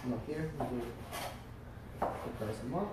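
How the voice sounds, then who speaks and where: Chef explaining a dish in an echoey room. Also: male voice, mid-range voice, animated, a little slow, heavy background noise. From Florida. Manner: explains step by step with many fillers. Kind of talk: cooking demonstration. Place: restaurant. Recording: echoey room, mic far off.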